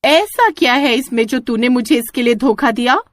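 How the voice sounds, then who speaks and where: Woman voicing a high-pitched possessive young ghost bride. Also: moderate pace, lightly acted. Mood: jealous, accusing. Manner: insistent, confrontational.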